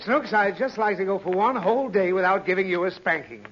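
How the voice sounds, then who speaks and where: A man's voice: high-pitched, animated, fairly quick. An actor playing a harried, exasperated father in 1930s radio comedy, blustering and whining. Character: harried, exasperated father, quick to threaten spankings. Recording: dull, noisy 1930s radio recording.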